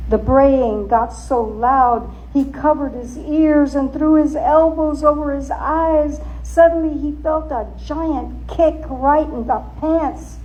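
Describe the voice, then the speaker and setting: A woman giving a theatrical, suspenseful dramatic reading of a story, with deliberate emphasis. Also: sits high, mellow, low-quality recording. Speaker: author and storyteller.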